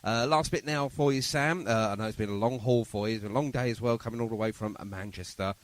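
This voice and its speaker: A man's voice: fairly low pitch, fast, dry recording. A man hosting a casual radio interview in a studio, talking in a chatty, rambling, enthusiastic way. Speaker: radio show host.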